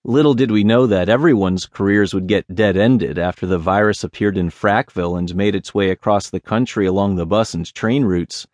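Man playing a wry, low-voiced lonely zombie guy. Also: normal speed, few pauses, a little mellow, dry recording, lightly acted. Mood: wry, reminiscing. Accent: American. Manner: wry, casual, self-deprecating. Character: self-deprecating lonely male zombie, wry and conversational. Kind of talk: first-person audiobook character narration.

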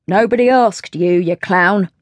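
A woman narrator plays a storybook butler, a man used to running the household. His delivery is crisp and commanding at a middle pitch, in a dry recording. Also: very animated, unhurried, mellow, dry recording. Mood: snappish. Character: brisk male butler in charge of servants.

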